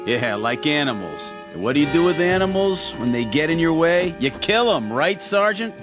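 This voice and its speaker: An actor playing a jeering, rowdy army soldier in a radio drama. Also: male voice, fairly high pitch, big pitch swings, normal speed, over music.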